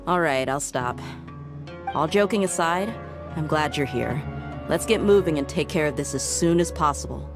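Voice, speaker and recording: A low-voiced, teasing mercenary. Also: female voice, lively intonation, normal speed. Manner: playful, teasing. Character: teasing young female mercenary. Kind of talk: game voice acting. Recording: game music playing loud behind.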